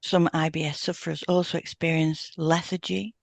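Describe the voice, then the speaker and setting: A woman's voice: low-pitched, flat delivery, moderate pace, gravelly, dry recording. A woman reads as co-host of an educational podcast, with a very dry, close sound. Her delivery is informative and scripted, spelling out terms carefully.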